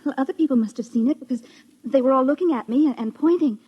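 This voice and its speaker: A weary, lonely young woman in a vintage radio drama. Her voice is fairly high and husky, with a halting, haunted delivery that sounds fragile. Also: muffled recording. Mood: hushed wonder. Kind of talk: old-time radio drama acting.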